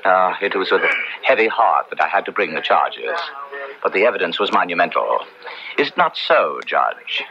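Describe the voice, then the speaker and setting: A man playing a smug titled colonial gentleman in old radio drama style, unctuous and formal. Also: low-pitched, big pitch swings, moderate pace, gravelly, muffled recording, crowd noise, exaggerated voice.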